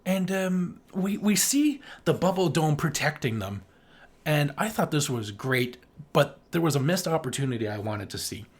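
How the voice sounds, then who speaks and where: Higher-pitched man in casual, sarcastic movie chat. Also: animated, moderate pace, breathy edge. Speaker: podcast co-host.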